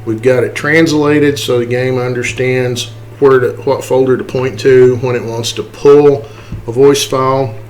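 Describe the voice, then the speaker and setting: Man, informal tutorial presenter, medium pitch, step-by-step walkthrough, checks in often, background noise. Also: steady tone, measured pace, darkish tone, low-quality recording. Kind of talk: casual screen-recorded software walkthrough. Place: indoors, voice-over for a screen recording.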